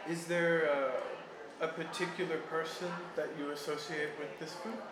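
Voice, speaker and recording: Slightly high-voiced male interviewer. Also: steady tone. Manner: measured, with hesitant fillers. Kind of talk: formal interview questions. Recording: echoey room with noise.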